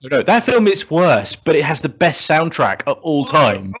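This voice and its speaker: A man's voice: on the high side, sing-song, moderate pace, a bit bright, slightly rough, muffled recording. A man chatting casually as a podcast co-host, insistently arguing his opinion. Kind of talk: casual group chat.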